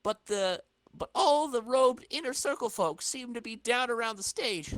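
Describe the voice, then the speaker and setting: Casting: man doing young male comic-book sidekick, keyed-up and animated, swinging intonation, slangy asides. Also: very high voice, moderate pace, dry recording, pitched above the performer's own voice. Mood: urgent, incredulous.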